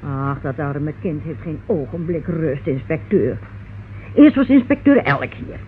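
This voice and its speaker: A woman's voice: deep voice, lively intonation, a little slow. An actress playing a chatty, protective, fussing woman in a radio drama. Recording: tinny, noisy old radio recording.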